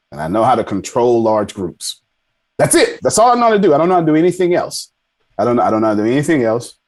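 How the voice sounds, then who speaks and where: Male, 40, lowish pitch, podcast guest, casual and self-deprecating storyteller who repeats himself for emphasis. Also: a little mellow. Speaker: podcast guest, army veteran. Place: indoors on a video call.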